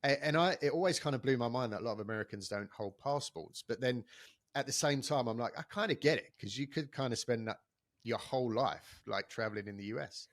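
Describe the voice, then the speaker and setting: A man's voice: medium pitch, brisk, no room sound, clean studio sound. A man hosting a podcast, chatty and enthusiastic, talking casually with many restarts. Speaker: podcast host.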